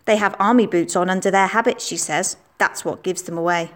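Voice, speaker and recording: A woman's voice: lowish voice, fairly quick, close to an everyday voice. A woman voicing a matter-of-fact schoolgirl sharing what she has heard. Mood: knowing. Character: know-it-all schoolgirl.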